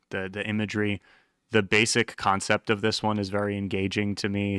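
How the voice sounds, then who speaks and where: A man's voice: low-pitched, sharp tone, clean tone, no room sound, clean studio sound. A man giving casual reaction commentary, rambling enthusiastically in informal slang. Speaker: host reacting to a horror web series.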